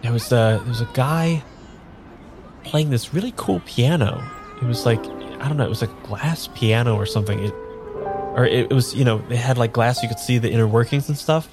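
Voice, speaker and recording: A man's voice: mid-range voice, music in the background, natural voice. A man playing a bewildered, earnest amnesiac in an improvised audio drama, speaking in an unsure, conversational way. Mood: earnest wonder. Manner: halting, unsure, rambling. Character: bewildered, earnest man without his memory. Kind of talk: improvised audio drama dialogue and first-person narration.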